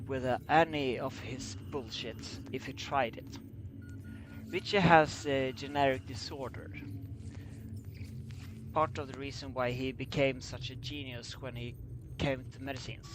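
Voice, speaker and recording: A man reading a scripted story aloud as an amateur narrator, halting and stumbling over his words. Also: mid-range voice, noisy background. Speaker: amateur fan fiction reader.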